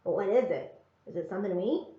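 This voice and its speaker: A woman's voice: medium pitch, expressive, fast, natural voice. A woman reading the part of a curious, blunt, cheeky little boy in a storybook. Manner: inquisitive, blunt. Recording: roomy, slightly dull sound.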